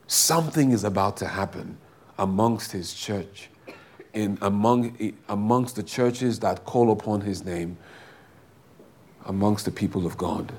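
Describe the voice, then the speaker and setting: Slow, deep-voiced booming preacher man. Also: a little hiss or hum. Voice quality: big booming voice. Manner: slow, drawn-out, fervent delivery with repeated phrases. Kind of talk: sermon with prayer.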